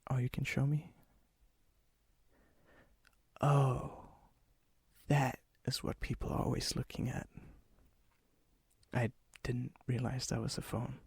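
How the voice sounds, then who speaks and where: A man playing a charming, ancient vampire and hopeless romantic in a soft-spoken, often whispered ASMR roleplay, slow, intimate and courteous, with a breathy, husky voice. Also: mid-range voice, level tone, no room sound, exaggerated voice. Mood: puzzled, curious. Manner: slow, intimate, teasing, old-fashioned courtesy. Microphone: close mic, dry sound.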